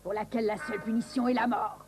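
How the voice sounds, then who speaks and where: A woman's voice: fairly high pitch, fairly even intonation, quick pace, darkish tone, faint music behind, lightly acted. A woman voicing a vain, imperious evil stepmother queen in a French cartoon dub, haughty and commanding. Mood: angry, scheming.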